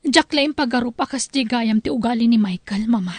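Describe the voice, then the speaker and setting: A woman's voice: a little high, a little fast, husky, no echo. A woman playing a hurt, proud young wife with an Ilocano accent in a family radio drama, protesting as she speaks. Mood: subdued, troubled. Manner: aggrieved, protesting.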